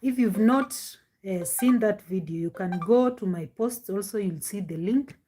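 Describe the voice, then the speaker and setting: A woman with a deep voice, talking loudly and with strain as she chats casually with viewers while hosting a live-stream reaction show on her device's built-in microphone. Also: measured pace, faint music behind. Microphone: no external microphone, device mic.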